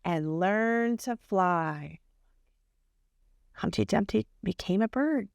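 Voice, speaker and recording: A woman school principal reading a storybook aloud to children in an expressive, questioning way. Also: mid-range voice, takes it slowly, creaky, no room sound, clean studio sound. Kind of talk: read-aloud storytelling with asides and questions to young listeners.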